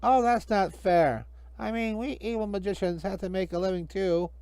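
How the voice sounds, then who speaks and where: A man's voice: sits high, normal speed, darkish tone, clear, resonant tone, no room sound, pitched above the performer's own voice. A man voicing a greedy, whiny, comically villainous magician in a children's storybook, scheming and wheedling. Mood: whining, pleading.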